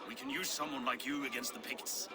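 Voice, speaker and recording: An actor playing a boisterous, hearty, hard-drinking warrior noble in a video game. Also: male voice, medium pitch, fairly even intonation, brisk, music in the background, close to an everyday voice. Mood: jovial, challenging. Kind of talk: cinematic video game acting.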